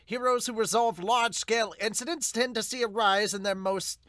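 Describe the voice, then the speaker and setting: A man's voice: high voice, moderate pace, a bit bright, dry recording, studio quality, pitched above the performer's own voice. A man voicing a grand, emphatic announcer of hero rankings in an amateur manga dub.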